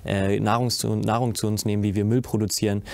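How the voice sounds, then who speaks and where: A man's voice: on the low side, level tone, fast, vocal fry, a little mellow, no room sound. A teenage boy on a stage panel, speaking earnestly in long run-on sentences full of hesitations. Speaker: young cast member. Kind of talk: panel discussion answer. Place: stage with curtain, indoors.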